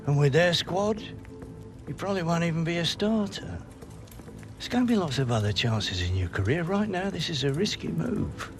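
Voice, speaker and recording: A man's voice: fairly high pitch, big pitch swings, normal speed. An older man playing a cautious, worried grandfather in a video game cutscene, with music behind him. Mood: concerned. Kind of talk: cinematic game cutscene acting.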